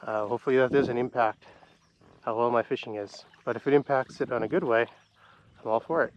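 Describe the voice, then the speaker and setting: A man with a stuffy, congested voice gives relaxed running commentary as he fishes. He sits at an everyday middle pitch and talks to himself and to the fish. Wind and water noise come through clearly on the outdoor recording. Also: brisk, muffled recording. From Canada. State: congested from a cold. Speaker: fishing vlogger. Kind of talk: casual running commentary while fishing. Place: outdoors in a boat on a lake.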